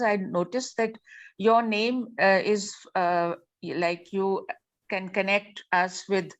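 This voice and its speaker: A woman moderating an online meeting and introducing participants, speaking politely in a hesitant, halting way over a video call. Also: mid-range voice. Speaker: meeting host.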